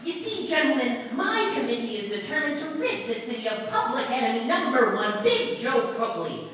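A woman playing an earnest civic crusader, lively. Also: fairly high pitch, moderate pace, slight background noise. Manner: formal, earnest. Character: earnest, formal civic committee leader. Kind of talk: live stage recreation of 1930s radio comedy. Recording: echoey room from a far camera.

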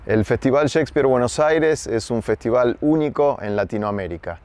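A man, a theatre director speaking to camera in a garden, thoughtful with pauses and fillers. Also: medium pitch, a little mellow. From Argentina. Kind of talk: interview to camera. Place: garden outdoors. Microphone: close camera framing.

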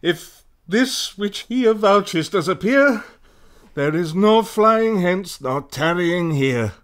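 Guy playing a bitter warrior king, high voice, slow theatrical shakespeare verse for radio. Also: lively intonation, a bit bright, full character voice. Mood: urgent, defiant. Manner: declamatory, theatrical.

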